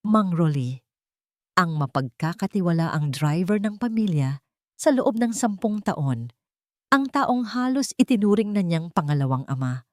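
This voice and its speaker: Casting: female audiobook-style narrator, lowish pitch, suspenseful and emphatic delivery, very dry close sound. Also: expressive, unhurried, darkish tone, dry recording. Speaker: storyteller. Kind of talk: dramatic scripted story narration.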